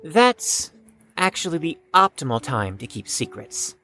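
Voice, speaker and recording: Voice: medium pitch, very animated, a little slow, pushed and bright, full character voice. An actor playing an anxious, proud fifteen-year-old in an audio drama, speaking formally and precisely and stammering when flustered. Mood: smug, composed.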